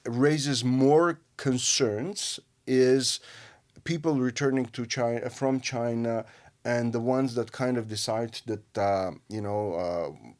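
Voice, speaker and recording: Armenian-accented man, halting news talk. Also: medium pitch, steady tone, a little mellow. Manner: halting, many fillers and restarts. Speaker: radio host. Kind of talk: news discussion.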